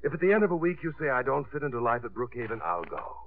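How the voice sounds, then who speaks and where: An actor playing a wry, teasing, persistent sculptor and suitor in a 1950s radio drama. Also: male voice, medium pitch, big pitch swings, fast. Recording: tinny, band-limited 1950s radio broadcast.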